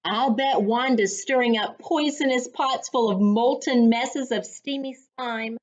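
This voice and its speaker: Slow, high-voiced woman reading to children. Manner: deliberate, instructive teacher-like delivery. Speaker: reading coach. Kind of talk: read-aloud storytime for children. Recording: dull lo-fi sound.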